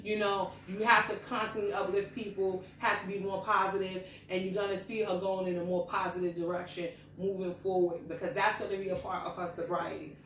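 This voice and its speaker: A woman's voice: mid-range voice, level tone, fairly quick, hardly any pauses, fairly bright tone. Chatty woman host in an echoey room. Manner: chatty, laughs often, preachy asides. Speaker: podcast host. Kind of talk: casual group chat. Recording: echoey room, distant mic, faint hiss.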